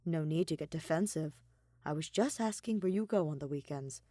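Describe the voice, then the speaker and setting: A woman's voice: low voice, a little fast, mellow, dry recording, clean studio sound. A woman voicing a cool, pedantic young male scholar in a story, speaking in a clipped, composed, petty way. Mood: clipped, defensive.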